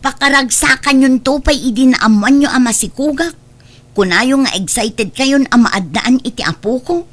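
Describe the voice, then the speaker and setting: A woman's voice: sits high, expressive, moderate pace, fairly bright tone. A woman with an Ilocano accent playing a proud, jobless young mother who is a scolded daughter. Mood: sulky, defensive. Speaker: drama actress. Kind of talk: Ilocano comedy drama acting.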